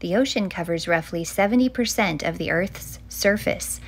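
A middle-aged woman reads a children's book aloud as a storytime host, with a clear, measured storytelling delivery. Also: lowish voice, noisy background. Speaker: children's author and librarian hosting a storytime.

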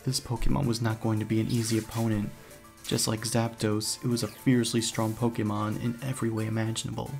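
A man's voice: lowish voice, flat delivery, a little fast, creaky, darkish tone. A man reading scripted first-person story narration for a video game playthrough, as the hero. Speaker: narrator and let's player, speaking in character as hero. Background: background music.

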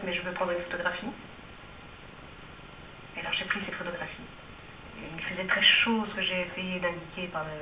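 A woman in her thirties, a photographer in an interview, talking about her photographs in a halting way full of fillers, seated at a table indoors. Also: mid-range voice, distant mic, a little hiss or hum. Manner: hesitant, halting with many fillers. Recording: tinny archive film replayed in an echoey gallery from afar.

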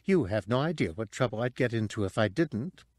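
A man's voice: fairly low pitch, animated, a little fast, a little mellow, dry recording, studio quality. A man voicing a fussy, polite protocol droid with a melodious voice, prim and chatty.

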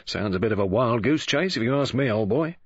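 A man's voice: medium pitch, lively intonation, fairly quick, breathy edge, highs cut off. Man doing a brusque police officer. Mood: sardonic. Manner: teasing, clipped, disapproving.

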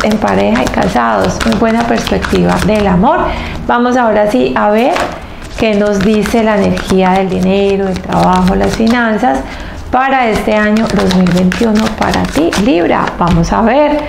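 A woman in her thirties with a heavily creaky voice gives a spiritual tarot reading. She speaks earnestly, in short emphatic phrases with pauses between them. The room is echoey, with faint noise behind her. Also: mid-range voice, darkish tone. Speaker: tarot reader and host. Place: small indoor room, seated at table. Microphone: clip-on lapel mic. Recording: roomy echo with faint background noise.

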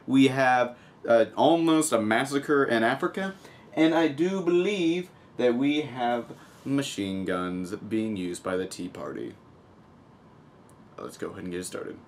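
A young man from the United States hosting a casual news vlog at home, reading stories and adding sarcastic, joking asides. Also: medium pitch. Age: young adult. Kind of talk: casual humorous news commentary. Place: small home room with desk, indoors.

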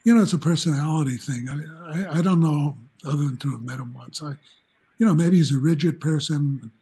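This is an older man in his seventies, speaking from a room in his home over a video call. His voice is mellow and a bit husky, sitting somewhat high for a man. He tells stories in a loose, rambling way, chuckling and restarting his sentences. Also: fairly quick. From New Jersey, United States. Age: 70s. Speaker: guest, journalism professor. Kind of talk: casual interview storytelling.

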